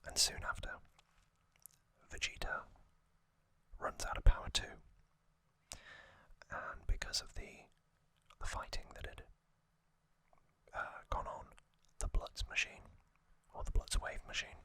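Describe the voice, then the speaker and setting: A man whispering as an ASMR host, telling a story softly in short, halting phrases. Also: no echo. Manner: soft, unhurried, halting delivery in short phrases.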